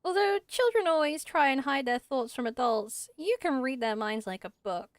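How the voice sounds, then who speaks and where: A woman's voice: sits high, expressive, moderate pace, dry recording. A woman voicing an earnest, dutiful young female forest ranger in a fantasy game, explaining things carefully.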